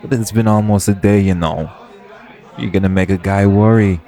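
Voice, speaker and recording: A man's voice: lowish voice, steady tone, moderate pace, dark tone, natural voice. A man playing a cocky, possessive vampire lord in an audio roleplay, with a casual, sardonic drawl and an edge of menace. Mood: teasing.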